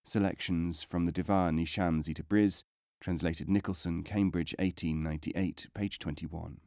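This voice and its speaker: A man reading non-fiction as a scripted audiobook narration, in a measured, formal way with careful enunciation. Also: sits low, steady tone, darkish tone, no echo, clean studio sound.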